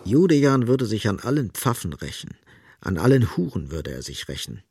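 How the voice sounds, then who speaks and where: An actor as a grave, dramatic literary narrator in a radio play. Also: male voice, on the low side, big pitch swings, normal speed, darkish tone, husky, no room sound, studio quality, exaggerated voice. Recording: music underneath.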